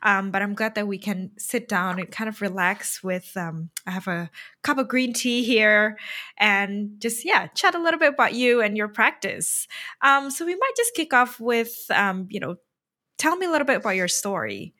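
A woman from Indonesia hosting a podcast, reading a measured, reflective introduction and then chatting casually with a guest. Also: medium pitch, expressive, sharp tone, no room sound, studio quality. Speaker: podcast host.

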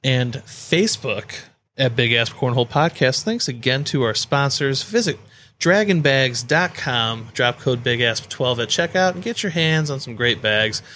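Male American podcast co-host, mid-pitched, informal rambling banter, slight background noise. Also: animated, measured pace, sharp tone. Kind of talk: casual joking banter.

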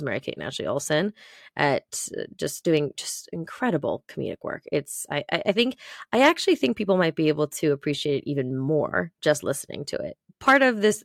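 A woman's voice: low voice, vocal fry, no echo, studio quality. A woman hosting a podcast solo, chatting casually in a gushing, emphatic, rambling way. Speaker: podcast host.

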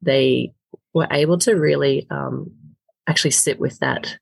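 A woman actor being interviewed, speaking in a thoughtful, halting way with many pauses. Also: a bass voice, gravelly, husky, dry recording. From Australia. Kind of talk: casual reflective interview talk.

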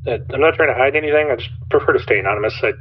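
Cagey caller on a tinny line. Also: male voice, mid-range voice, rapid-fire, a little hiss or hum. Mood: nervous, defensive. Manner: hesitant, self-correcting. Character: defensive, cagey anonymous male radio caller. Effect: phone-line filter. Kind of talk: audio drama radio call-in. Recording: thin phone-like band-limited line.

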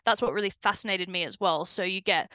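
A woman's voice: mid-range voice, fast, sharp tone, no room sound. A young woman with an Australian accent, chatting casually as a podcast guest and laughing often. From New South Wales, Australia. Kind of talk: casual interview chat.

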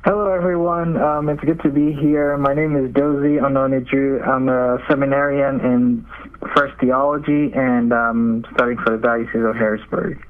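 A man's voice: medium pitch, fairly even intonation, moderate pace, phone-quality sound. A young man gives casual, hesitant interview answers as a seminarian guest over a remote call. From Nigeria. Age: young adult.

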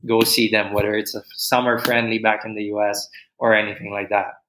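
A man hosting a casual podcast interview, asking long, rambling questions in a relaxed way. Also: lowish voice, fairly quick, a little hiss or hum, rough recording. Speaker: podcast host.

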